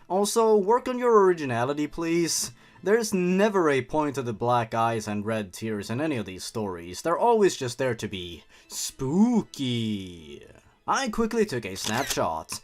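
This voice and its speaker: A man reading a horror story aloud theatrically, breaking off for sarcastic, mocking asides. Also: mid-range voice.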